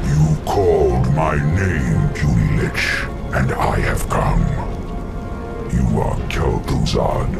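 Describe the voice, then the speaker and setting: Abyssally deep, gravelly demon lord. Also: male voice, exaggerated voice. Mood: imperious. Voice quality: gravelly, booming. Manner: slow, domineering, pompous. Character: huge, imperious demon lord, contemptuous and commanding. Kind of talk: video game cutscene acting. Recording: loud game music and effects behind.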